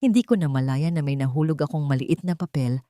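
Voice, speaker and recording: A woman narrates a dramatic story in the first person, in a slightly low voice. The sound is dry and close, and the delivery is that of a scripted audio drama. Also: fairly quick, mellow, no room sound.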